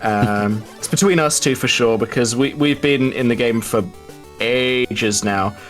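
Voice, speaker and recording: A man giving live commentary on a game race, wordy and prone to drawn-out emphasis. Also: mid-range voice, fairly bright tone, faint music behind.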